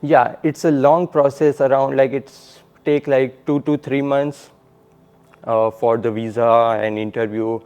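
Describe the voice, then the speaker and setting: A man's voice: mid-range voice, fairly even intonation, unhurried, darkish tone, smooth. A male graduate student from India, talking casually with many fillers in an interview. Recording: slightly dull lo-fi audio.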